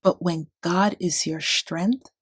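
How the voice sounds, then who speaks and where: A woman's voice: fairly low pitch, steady tone, takes it slowly, slightly rough, dry recording, clean studio sound. A woman storyteller reading a rhyming children's book aloud expressively.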